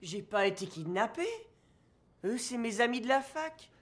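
A man's voice: very high-pitched, animated, normal speed, a bit bright, exaggerated voice. An actor with a French accent playing a meek, nervous young male student in a dubbed comedy film. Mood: sheepish. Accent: French (France). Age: young adult.

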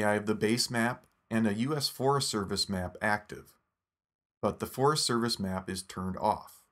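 Man, lowish voice, instructive tutorial narration, steady pace, close-miked voice-over. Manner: steady, instructive step-by-step delivery. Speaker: app tutorial presenter. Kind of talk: scripted screen-recording tutorial narration.

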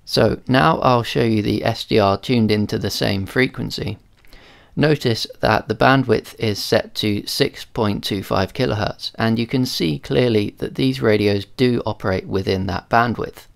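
Low-pitched, gravelly man demonstrating radios. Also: a little slow. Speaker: amateur radio reviewer. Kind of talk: casual hands-on demo and narration.

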